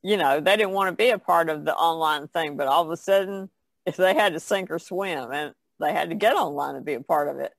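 Folksy older woman with creaky voice. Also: low-pitched, brisk, no echo. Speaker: artist, podcast panelist. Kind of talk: casual chat.

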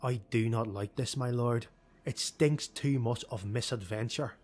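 A man's voice: mid-range voice, a little slow, a little mellow, lightly acted. A man voicing a wary, sceptical female elf retainer who speaks bluntly and doubtfully in an audiobook.

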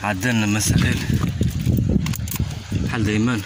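A man's voice: fairly low pitch, flat delivery, moderate pace. A man with a northern Moroccan Arabic accent chatting and commenting live outdoors on a rocky shore, often repeating short exclamations of blessing. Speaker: fishing vlogger filming the outing. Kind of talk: casual chat and live commentary. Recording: loud wind and sea noise.

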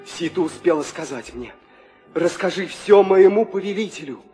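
A man's voice: sits high, lively intonation, normal speed. An actor playing a wounded, loyal, dying king of eagles in a 1960s audio drama. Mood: failing. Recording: old studio recording under loud music.

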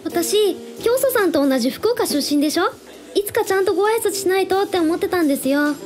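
A young female pop idol in a radio drama, acted by a woman. Her voice is extremely high, and she speaks with polite, sweet admiration. Music plays faintly behind her. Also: animated, fairly quick, darkish tone. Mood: admiring.

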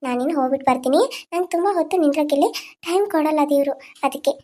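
A woman voicing the gentle ghost of a young mother, who soothes and coaxes softly. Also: sits high, racing, close to an everyday voice. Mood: tender coaxing. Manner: soothing, tender, coaxing.

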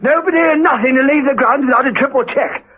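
A woman playing a brisk, baffled prison colonel in a radio drama, husky and clipped in manner. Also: high-pitched, lightly acted. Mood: urgent. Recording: muffled, lo-fi radio recording.

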